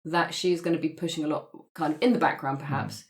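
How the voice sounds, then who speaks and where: A woman's voice: low voice, steady tone, fast talker. A woman chatting casually as a player in a tabletop roleplaying group.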